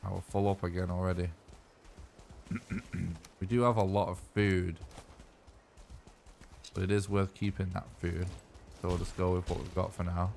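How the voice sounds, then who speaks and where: A man streaming a game and chatting casually, rambling as he thinks aloud, his voice going a bit hoarse. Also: deep voice, normal speed, pauses often, a little hiss or hum. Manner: rambling, relaxed, thinks aloud while playing. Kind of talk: casual live-stream gaming chat.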